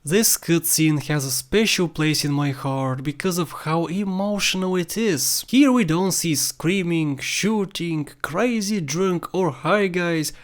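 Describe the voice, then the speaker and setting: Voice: medium pitch, slow, few pauses. A narrator hosting a countdown of video game scenes with scripted commentary.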